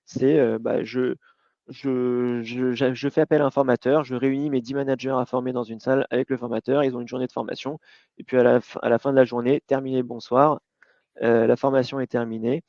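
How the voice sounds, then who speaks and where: A man's voice: mid-range voice, steady tone, a little fast, warm tone, no echo. A man speaking as a company founder in a webinar, informal and stammering, telling an example. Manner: informal, stammering restarts, storytelling tone. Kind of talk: casual webinar talk. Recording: dry, dull lo-fi call audio.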